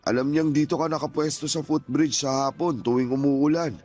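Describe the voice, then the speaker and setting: A man acting as a gentle, hurt older father in a radio drama, pleading earnestly and emotionally with his grown child. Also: fairly high pitch, fairly even intonation, fairly quick, natural voice. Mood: reproachful. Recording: music playing behind the voice.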